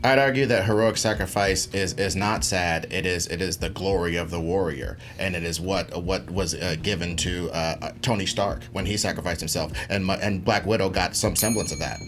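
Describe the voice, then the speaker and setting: A man's voice: sits low, normal speed, few pauses, a bit bright. A man debating casually as a contestant, making his points with emphatic, rhetorical phrasing. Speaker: debate contestant and stand-up comedian.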